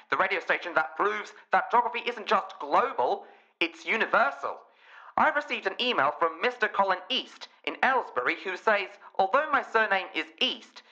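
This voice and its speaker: A man's voice: high voice, sharp tone, heavily acted. A man playing an earnest, self-important radio presenter, polished and grandly announcing, with dull band-limited sound.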